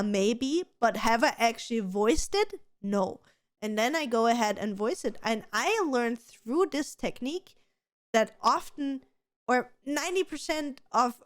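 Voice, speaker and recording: A woman co-hosting a casual podcast, chatty and giving advice, with a slight stutter and many restarts. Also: a little high. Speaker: podcast co-host.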